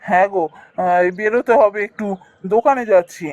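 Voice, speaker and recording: Man acting high-voiced earnest cartoon village man. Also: brisk, darkish tone, dry recording, dull audio, close to an everyday voice. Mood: relaxed, friendly. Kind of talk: Bengali fairy-tale cartoon dubbing.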